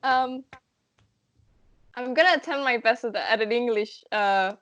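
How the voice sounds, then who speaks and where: A woman with a Spanish accent co-hosting a casual podcast, talking quickly and animatedly. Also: fairly high pitch, fairly bright tone, dull audio. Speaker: podcast co-host.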